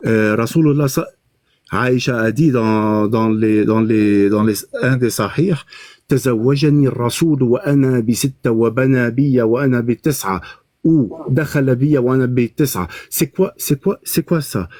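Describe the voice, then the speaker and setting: Man, pleading, repeats himself, dark dry voice. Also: medium pitch, a little fast, no echo. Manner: pleading, interrupts, repeats words. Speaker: debate participant. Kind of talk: heated online debate.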